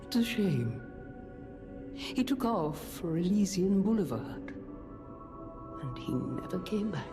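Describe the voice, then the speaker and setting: A woman playing a gracious, composed older hotel owner in a video game, measured and wry. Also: lowish voice, sing-song, over music. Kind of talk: video game character acting.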